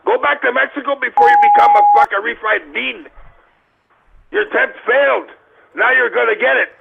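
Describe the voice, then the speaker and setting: A man playing a belligerent, taunting, foul-mouthed caller with a gravelly voice on a phone line. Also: very high voice, fairly quick, full character voice. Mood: menacing, taunting. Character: menacing, foul-mouthed male caller making threats. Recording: noisy, tinny phone line with clicks.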